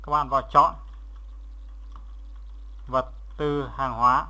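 A man narrating a step-by-step software tutorial as an instructor, in a halting, instructional way, repeating steps as he goes. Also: medium pitch, level tone.

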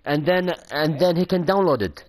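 Halting young man on gaming voice chat. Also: on the high side, animated. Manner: halting, many fillers, mixes in Japanese phrases. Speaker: gaming friend. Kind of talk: casual voice chat while gaming.

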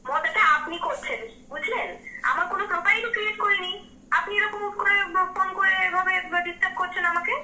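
Young woman, West Bengal Bengali accent, very high and piercingly bright, indignant protests, echoey call with faint noise. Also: fast talker. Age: young adult. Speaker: prank call recipient. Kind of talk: phone conversation.

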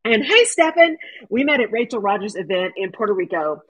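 Host: expressive woman, 50s, airy breathy tone, video call from home. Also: mid-range voice, brisk, low-quality recording. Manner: animated, laughing, gestures with hands. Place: home room.

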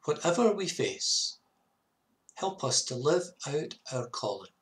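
A man in his fifties, a minister giving a measured, earnest reflective sermon to camera in a small study. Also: fairly high pitch, very animated, a bit bright. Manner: measured, earnest, with short repeated phrases. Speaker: parish minister. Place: small home study, indoors.

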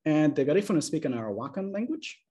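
Male lecturer, 30s, webcam talk, slightly higher pitch, calm explanatory pacing. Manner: measured, explanatory, checks in with "okay?". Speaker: linguistics lecturer. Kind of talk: academic slide presentation. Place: small room indoors. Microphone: video call webcam.

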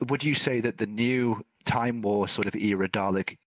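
Brief: lower-pitched male host, close and dry, gentle interviewer who drops in short reactions. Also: normal speed, dry recording, rough recording. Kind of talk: interview questions, casual. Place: virtual reality event.

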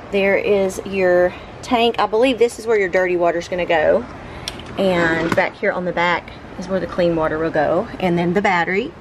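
Middle-aged woman, home vlogger, informal and halting, medium pitch, very noisy home recording. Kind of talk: casual unboxing and cleaning vlog narration. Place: living room of a home, indoors. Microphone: camera mic on a tripod nearby.

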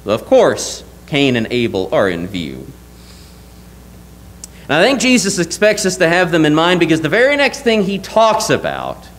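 A young man preaching a sermon from a church pulpit, earnest and emphatic, often asking rhetorical questions. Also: a little high. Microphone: lectern mic, wide camera.

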